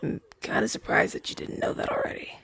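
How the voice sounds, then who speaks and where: A man's voice: fast talker, a bit bright, dry recording, in a whisper, close to an everyday voice. A young man playing a chatty, down-on-his-luck crow demon, once a witch's familiar, in a hesitant, stammering roleplay audio drama. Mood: awkward, explaining.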